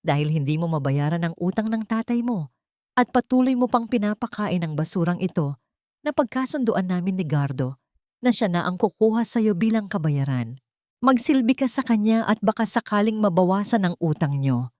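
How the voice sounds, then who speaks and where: A woman's voice: medium pitch, animated, moderate pace, darkish tone, no echo, studio quality, natural voice. A woman voicing a cruel, scheming aunt in an audio story, harsh and threatening. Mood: cold, menacing. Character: cruel, scheming aunt demanding debt payment.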